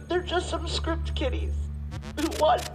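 A woman's voice: sits high, extremely slow, over music, low-quality recording. A woman playing a mocking, unhinged hacker in a video game, sneering and giggling through theatrical stammers. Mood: gleeful mocking. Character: mocking, unhinged female hacker broadcasting threats. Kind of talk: video game cutscene acting.

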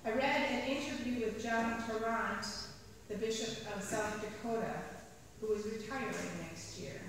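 A middle-aged woman priest reading a sermon from the pulpit, measured, slowing down to stress key phrases. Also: fairly high pitch, background noise. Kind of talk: read sermon. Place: large church sanctuary. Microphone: pulpit mic at a distance. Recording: echoey church hall from far off.

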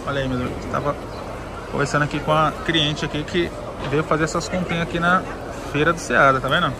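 A Brazilian man with a fairly high voice talks casually to his viewers as he vlogs. His delivery is chatty and informal, like a walk-through of a market. Street noise is clearly audible around him outdoors. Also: fairly even intonation, a little fast. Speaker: vlogger showing a plant market. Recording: noisy outdoor recording with street sounds.

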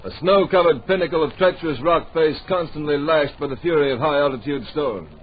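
A man's voice: a little high, fairly quick, natural voice. A man giving dramatic scripted narration for a radio drama in clipped, portentous phrases. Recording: dull, hissy old radio recording.

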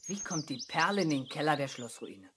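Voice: mid-range voice, sing-song, a little fast, noisy background, close to an everyday voice. A young performer playing an eager, self-important boy detective in a 1970s German children's radio play, earnestly reasoning aloud. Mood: secretive musing. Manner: earnest, deductive, musing aloud.

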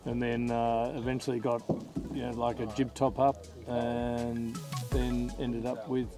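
A man, a yacht sailor recounting the race in a measured way. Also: mid-range voice, music in the background. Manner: flat, measured, lists with fillers. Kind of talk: post-race interview.

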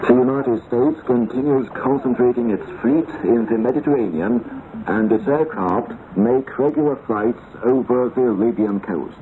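A man's voice: mid-range voice, narrow, tinny audio. A man reading a formal scripted radio news bulletin as a newsreader on 1980s shortwave. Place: radio studio, heard over shortwave. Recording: noisy band-limited 1980s shortwave radio.

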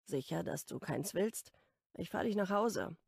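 A woman reads the part of an easygoing young man in a romance audiobook. He talks bluntly and casually, with a teasing brotherly streak. The sound is dry and close, with a gravelly edge. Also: on the low side, lively intonation, measured pace, darkish tone, no room sound, full character voice. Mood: casual, probing.

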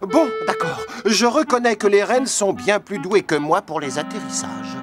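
Reluctant, grumbling magic sleigh. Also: male voice, sits high, animated, moderate pace, over music. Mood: grudging. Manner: hesitant, grudging. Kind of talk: animated cartoon dub acting.

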